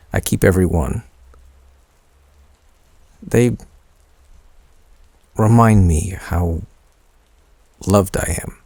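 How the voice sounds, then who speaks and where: A man playing a shy, sentimental young man in an intimate boyfriend audio roleplay, speaking hesitantly and teasingly with a creaky voice. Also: low-pitched, no echo, full character voice. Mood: bashful, intimate. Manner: hesitant, soft-spoken teasing, stammering.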